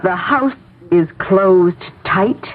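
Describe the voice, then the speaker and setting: A woman playing an anxious, welcoming wife in an old-time radio drama, with a fretful, halting, emotive delivery. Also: medium pitch. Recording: tinny, hissy vintage radio broadcast.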